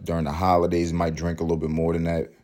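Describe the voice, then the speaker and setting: A man in his thirties from New York City, hoarse and hungover, hosting a casual solo podcast in a rambling, profane way with emphatic repeats. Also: low-pitched, monotone, fast, darkish tone. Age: 30s. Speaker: podcast host.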